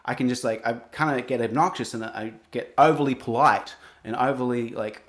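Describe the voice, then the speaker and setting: A man's voice: medium pitch, fairly bright tone. A young adult man with an Australian accent, speaking earnestly in an interview, often restarting his sentences. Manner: earnest, halting, many restarts. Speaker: guest, singer-songwriter. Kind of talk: reflective interview talk.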